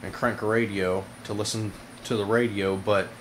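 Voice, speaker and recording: A man giving a casual hands-on product demonstration to viewers, informal and halting, with frequent pauses. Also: lowish voice, flat delivery. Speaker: product reviewer, video host. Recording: noisy amateur camera audio.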